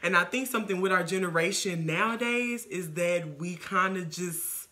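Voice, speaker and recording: A woman's voice: on the low side, moderate pace, pushed and bright. An American woman in her twenties chatting casually as a vodcast co-host, making her points emphatically. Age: 20s. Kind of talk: casual chat. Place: living room couch.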